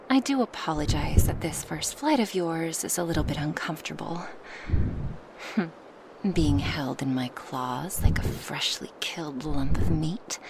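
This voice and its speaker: A woman's voice: low-pitched, gravelly, background noise, full character voice. A woman voicing a huge, proud dragoness in an ASMR roleplay, speaking formally to her human, teasing yet protective, at times whispery. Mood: playful, reassuring. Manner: slightly formal phrasing, teasing, reassuring. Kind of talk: ASMR roleplay monologue addressed to the listener.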